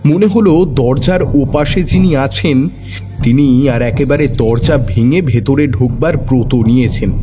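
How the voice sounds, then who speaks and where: A man narrating a detective story with expressive, suspenseful delivery. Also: medium pitch.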